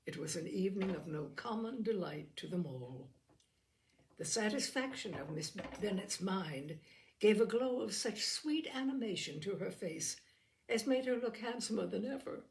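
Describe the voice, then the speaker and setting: A woman reading a classic novel aloud in an expressive storytelling manner. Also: medium pitch, normal speed, slight background noise, rough recording. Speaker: audiobook reader.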